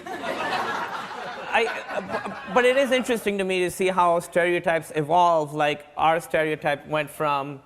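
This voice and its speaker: A young man, a comedian guest, telling animated stories in a casual panel chat and laughing often. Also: sits high, measured pace, slight background noise.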